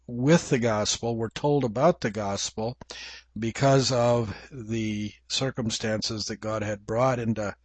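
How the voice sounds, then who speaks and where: An older man with an English accent gives a slow, reflective Bible teaching, pausing often as he thinks aloud. His voice sits at an ordinary male pitch. The sound is dry and close, with no room around it. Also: slightly rough, no room sound. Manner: measured, reflective, with frequent pauses and fillers. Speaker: preacher.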